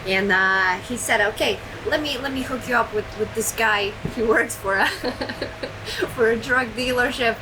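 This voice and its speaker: A woman's voice: on the high side, measured pace. Chatty woman from Serbia, noisy background. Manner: chatty, self-deprecating, many fillers and restarts. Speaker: guest, trucking entrepreneur. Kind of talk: casual interview storytelling. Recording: loud background noise.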